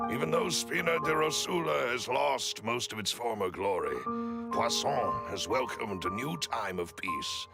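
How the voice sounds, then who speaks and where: Gravelly, formal loyal butler. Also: male voice, medium pitch. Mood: grave, cautioning. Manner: formal, measured, polite. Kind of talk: video game voice acting. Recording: music behind dialogue.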